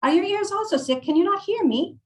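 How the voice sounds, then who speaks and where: A woman's voice: very high voice, lively intonation, brisk, pitched above the performer's own voice. A woman voicing a loving, snarky old Italian shopkeeper who fusses and bosses in an Italian accent, read from a novel. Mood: exasperated. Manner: bossy, mothering, exclamatory.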